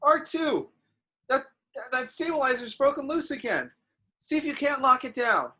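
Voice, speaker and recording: A man's voice: very high voice, expressive, moderate pace, sharp tone, heavily acted. A man playing a brave, determined young starfighter pilot in a dramatic script read, giving urgent commands.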